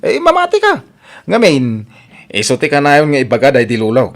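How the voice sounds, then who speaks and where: A man playing an idle, slow-witted village husband in a radio comedy, puzzled and defensive. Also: a little high, wildly swooping pitch. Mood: puzzled, hopeful. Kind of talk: comedic radio drama acting.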